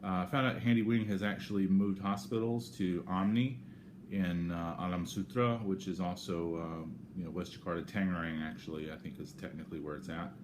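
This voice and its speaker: A low, deep male voice with an American accent speaks over noticeable background noise. He talks off the cuff like a home vlogger, halting and circling back to correct himself as he goes. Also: steady tone. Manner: rambling, halting, self-correcting. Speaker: vlogger giving a personal health update. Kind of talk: casual unscripted talk to camera. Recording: clear background noise, room ambience.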